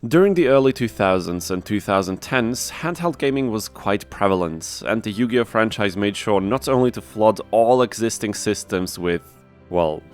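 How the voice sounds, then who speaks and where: Low-voiced man narrating, dryly sarcastic, over music. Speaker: video creator and host. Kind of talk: scripted narration of a gaming video.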